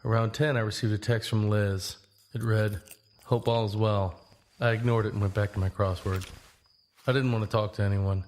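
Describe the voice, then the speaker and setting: A man's voice: fairly low pitch, level tone, normal speed, darkish tone, slight background noise, close to an everyday voice. A man playing a laid-back young traveler, telling his story in a plain, conversational first-person narration. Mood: easygoing, reflective. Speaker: narrator and lead. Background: guitar music.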